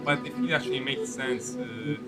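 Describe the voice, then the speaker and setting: Halting man over game music. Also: mid-range voice, very animated. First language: Italian. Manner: halting, rambling, searching for words. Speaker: game streamer. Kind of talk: casual live gameplay commentary.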